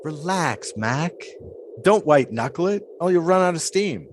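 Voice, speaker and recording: An actor playing an eccentric, cryptic pole sitter who talks in dreamy, teasing riddles. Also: male voice, a little high, lively intonation, a little slow, slight background noise. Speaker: pole sitter Shipwreck Kelly. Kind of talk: audio drama acting.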